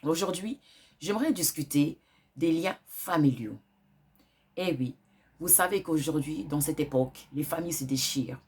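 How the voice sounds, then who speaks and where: A woman's voice: low voice, darkish tone, husky. A woman hosting a wellness channel with a cold, giving earnest spiritual advice and repeating her points for emphasis. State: has a cold.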